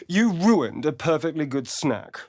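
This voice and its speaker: A man's voice: on the high side, darkish tone, dry recording, studio quality, heavily acted. A man playing a vain, sardonic vampire rogue in a video game, with an arch, theatrical, drawling delivery. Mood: exasperated, disdainful. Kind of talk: video game character voice lines.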